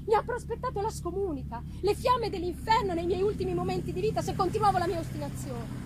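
A woman voicing an anguished, condemned young servant woman in a dramatic reading, halting and pleading. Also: very high-pitched, background noise. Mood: distraught. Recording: live music behind.

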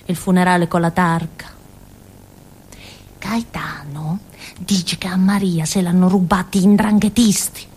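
Childlike Calabrian village simpleton, animated. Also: female voice, medium pitch. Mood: naive, secretive. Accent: southern Italian, Calabrian. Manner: childlike, rambling, punctuated by little grunts and tag questions. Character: naive simple-minded young village woman of Calabria, chatty, confiding. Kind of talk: theatrical first-person monologue in colloquial dialect-tinged Italian.

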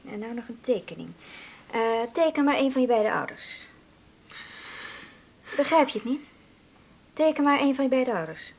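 High, lilting woman psychologist. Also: expressive, normal speed, pauses often. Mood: instructing. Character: woman psychologist briskly instructing a young test subject. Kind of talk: radio drama acting.

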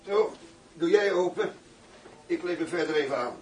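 An actor playing a commanding, brisk undercover police chief in a radio drama. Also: male voice, a little high, animated, darkish tone, roomy sound, recorded from a distance, faint music behind, natural voice, low-quality recording. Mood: tense. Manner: brisk, authoritative.

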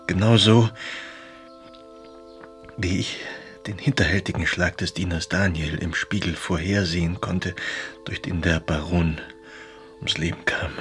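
An actor playing a melancholy, haunted writer confiding in a friend by letter in a German radio drama, intimate and brooding. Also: male voice, low voice, fairly bright tone.

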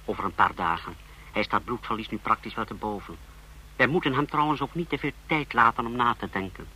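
An actor playing a cool, calculating intelligence conspirator in a radio play, speaking deliberately. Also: male voice, mid-range voice, bright, forceful tone, husky, poor audio. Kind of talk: radio drama dialogue.

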